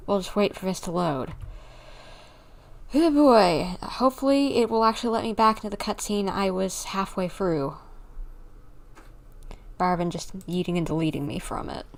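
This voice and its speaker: A woman's voice: medium pitch, moderate pace, long pauses. A woman giving casual live gameplay commentary, full of mild, folksy exclamations. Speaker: game streamer.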